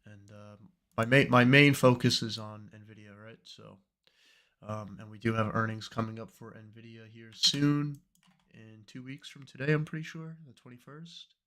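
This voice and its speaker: A young man with a cold, slightly hoarse, chatting in a relaxed, rambling way as host of a trading livestream. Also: medium pitch, moderate pace. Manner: relaxed, rambling, many fillers. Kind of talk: casual livestream chat.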